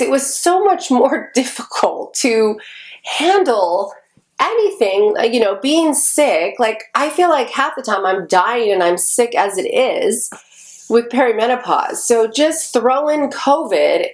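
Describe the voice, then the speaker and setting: A woman's voice: fairly high pitch, sing-song, slow pace. A woman in her forties, stuffy and congested, chatting casually to the camera in a rambling way with lots of ums. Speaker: vlogger.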